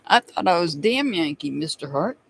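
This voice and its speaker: High-pitched guy with a bit of a southern accent, chatting on a live tarot stream, laughs a lot and calls out viewers by name. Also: expressive, normal speed, creaky, fairly bright tone, a little hiss or hum. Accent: slight Southern US. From United States. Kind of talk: casual live-stream chat.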